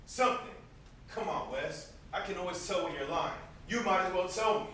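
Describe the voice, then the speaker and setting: Guy acting as a needling big brother in a stage play, kinda high bright voice, way off from the mic. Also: moderate pace. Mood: mocking. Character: teasing, prodding young man, protective older brother. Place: indoor stage, recorded from afar. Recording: echoey stage, distant noisy camera microphone.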